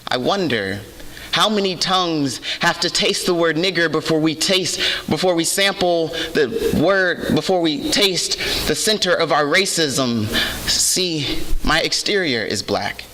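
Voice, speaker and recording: Bright earnest man doing spoken word. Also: fairly high pitch, lively intonation, measured pace, slight background noise. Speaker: student reader at a read-in. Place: university center event room. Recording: long hall reverb.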